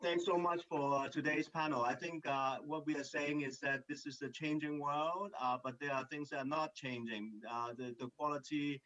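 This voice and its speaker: A man's voice: a little high, fairly even intonation, moderate pace, few pauses, a bit bright. Guy moderating a panel, short wrap-ups, muffled sound. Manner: brief, wrapping up turns. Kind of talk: career panel discussion. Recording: dull lo-fi remote audio.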